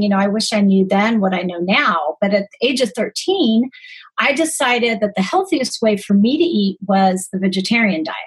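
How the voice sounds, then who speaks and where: A woman in her forties with a fairly high voice that is a little husky. She speaks as a guest, telling personal stories in a conversational way. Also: low-quality recording. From born in Greece, grew up in the United States. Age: 40s. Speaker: podcast guest, holistic nutritionist.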